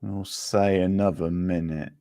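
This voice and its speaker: A man's voice: low voice, steady tone, unhurried, dark tone, no room sound. A man hosting a livestream quiz, chatting casually with viewers in a laid-back, conversational way. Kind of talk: casual live chat with viewers.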